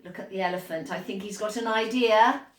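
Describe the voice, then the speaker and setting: A woman reading picture books aloud to young children in an animated storytime style, pausing to explain and ask encouraging questions. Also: mid-range voice, reverberant.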